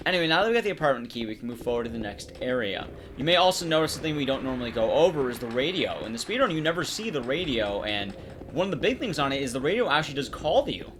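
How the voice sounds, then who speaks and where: Guy doing casual game commentary, super animated pitch swings, faint-to-clear noise and clicks behind him. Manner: conversational, explains to the audience. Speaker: streamer and commentator. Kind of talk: casual live gameplay commentary.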